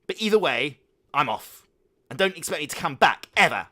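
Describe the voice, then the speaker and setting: A man's voice: a little high, very animated, normal speed, sharp tone, voice pushed up, heavily acted. A man doing a whiny, spoiled teenage boy. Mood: defiant. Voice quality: whining, petulant.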